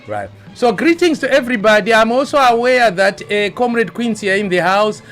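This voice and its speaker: A man's voice: sits high, very animated, a little fast, a bit bright, soft background music. A man hosting a live online show, chatty and teasing as he greets viewers by name. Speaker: show host.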